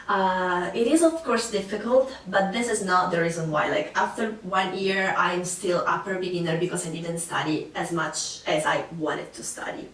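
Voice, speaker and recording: A young woman from Sicily with an Italian accent, chatting casually to camera about learning languages, with many fillers and restarts. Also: medium pitch. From Sicily, Italy. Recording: echoey room, voice a bit far from mic.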